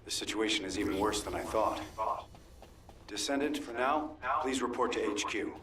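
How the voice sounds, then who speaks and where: A man playing a calm low-voiced commander. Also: moderate pace, over a noisy crowd, close to an everyday voice. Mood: serious.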